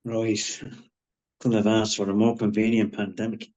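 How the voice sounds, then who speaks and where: Actor as wry, low-voiced man, dull audio. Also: level tone, moderate pace, a little mellow, close to an everyday voice. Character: wry, shaken man with sardonic gallows humour. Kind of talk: live screenplay table read acting.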